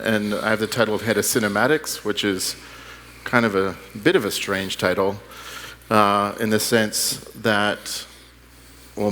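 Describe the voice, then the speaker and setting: A man's voice: lowish voice, fairly bright tone. A middle-aged man giving an informal conference talk in a plain-spoken, anecdotal way. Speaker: head of cinematics, presenter. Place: large indoor conference hall. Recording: echoey hall with long reverb.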